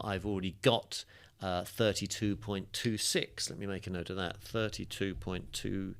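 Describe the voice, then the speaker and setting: A man teaching a crypto course, walking through a screen-share tutorial in a chatty, upbeat way with fillers, his voice a little worn. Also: on the low side. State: slightly losing his voice, coughing.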